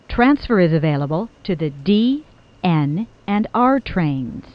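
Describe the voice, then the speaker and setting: A woman reads scripted subway announcements as a recorded train announcer, in a measured, formal, clearly enunciated manner. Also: fairly low pitch, muffled recording.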